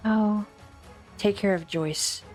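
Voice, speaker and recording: A woman's voice: medium pitch, takes it slowly, a little mellow, faint music behind, natural voice. A woman playing a serious, analytical lab researcher in a dramatic game fan dub. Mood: serious, concerned.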